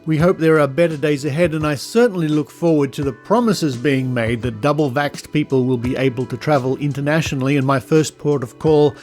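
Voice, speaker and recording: A man's voice: on the high side, fairly even intonation, fairly quick, few pauses, darkish tone, soft background music. An Australian man hosting a podcast, introducing segments and interviewing in a chatty, informal way. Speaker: podcast host.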